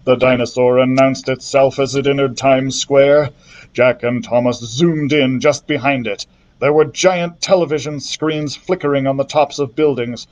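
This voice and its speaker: A man's voice: mid-range voice, moderate pace, muffled recording. Man reading a storybook aloud. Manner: steady storytelling narration with small slips. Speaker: children's librarian. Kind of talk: reading a children's book aloud.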